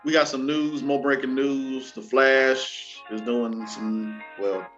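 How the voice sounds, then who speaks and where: A male host chats about entertainment news in a slangy, animated style, calling out to his listeners like an announcer. His mid-range voice comes through slightly muffled, lo-fi audio with faint music behind it. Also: mid-range voice, slow pace. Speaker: show host. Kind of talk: casual entertainment news chat. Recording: dull lo-fi audio, music underneath.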